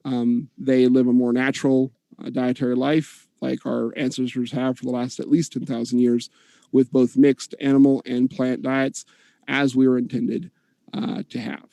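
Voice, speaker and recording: Man, mellow voice, earnest debate statement, dry close sound. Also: mid-range voice, level tone, normal speed, gravelly, dry recording. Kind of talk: online debate opening statement.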